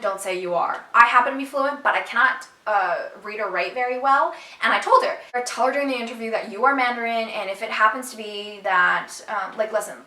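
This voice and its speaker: Animated young woman vlogging in an echoey room. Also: on the high side, far from the mic. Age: young adult. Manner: animated, emphatic, talks straight to viewers. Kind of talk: casual storytelling to camera.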